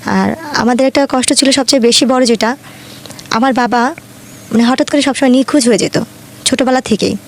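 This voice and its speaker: Fast-talking young Bangladeshi woman, high voice. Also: slight background noise. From Dhaka, Bangladesh. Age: 20s. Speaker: guest telling her life story. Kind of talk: personal storytelling in an interview.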